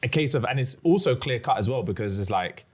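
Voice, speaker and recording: A man in his thirties co-hosting a podcast. His voice sits at a middling pitch. He rambles through his points in a frank, casual way, swearing freely. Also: expressive, fast talker, husky. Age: 30s. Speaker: podcast co-host. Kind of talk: casual chat.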